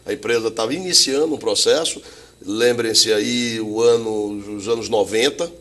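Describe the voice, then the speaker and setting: A man's voice: lowish voice, normal speed, a bit bright. Chatty middle-aged man. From Bahia, Brazil. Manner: chatty, anecdotal, frequent tag questions. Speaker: interview guest, logistics executive. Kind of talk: relaxed storytelling in an interview.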